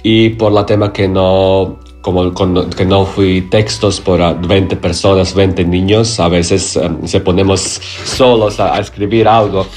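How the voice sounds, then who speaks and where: A man from Croatia, a playwright, speaking haltingly in an as an interview guest, often searching for words. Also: sits low, fairly even intonation, a little mellow, soft background music. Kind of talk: interview conversation.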